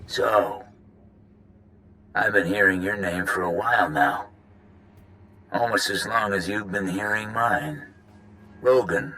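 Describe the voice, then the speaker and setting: A man's voice: on the low side, slow, pauses often, pushed and bright. An actor playing a menacing male crime boss in a video game, taunting and threatening. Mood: cold menace. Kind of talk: video game cutscene acting.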